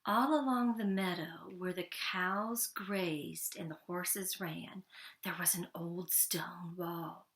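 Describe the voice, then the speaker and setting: A woman narrating a children's storybook, with deliberate, expressive phrasing and pauses between phrases. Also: fairly low pitch.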